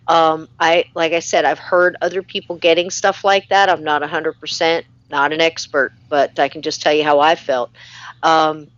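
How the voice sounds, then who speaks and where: A woman's voice: low-pitched, no room sound, highs cut off. Chatty woman hosting a live stream. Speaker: live-show host. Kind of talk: casual live-stream chat, reading viewer comments.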